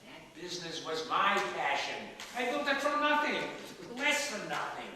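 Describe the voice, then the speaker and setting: Guy acting as a stubborn feisty 97 yr old dad in a stage play, blunt and forceful, bright voice, recorded from way back in an echoey hall. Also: high-pitched, sing-song, moderate pace, heavily acted. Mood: exasperated, reproachful. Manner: emphatic, argumentative, repeats words for force. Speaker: stage actor. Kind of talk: one-act stage play dialogue. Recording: echoey hall from far off, faint noise.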